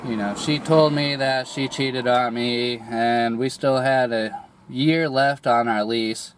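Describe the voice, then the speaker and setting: A young man getting over a cold, his voice a little raspy, telling a personal story slowly with many pauses, fillers and throat clearing. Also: mid-range voice, steady tone, background noise. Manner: hesitant, rambling, many fillers and restarts, clears throat often. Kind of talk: candid personal monologue.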